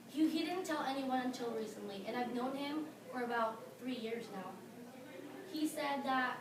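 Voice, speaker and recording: A teenage girl with a Filipino accent gives an earnest, prepared speech, pausing on fillers and leaning hard on key words. Her voice sits high. She sounds distant in an echoey room, with clear background noise. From Philippines. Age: teens. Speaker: high school student speaker.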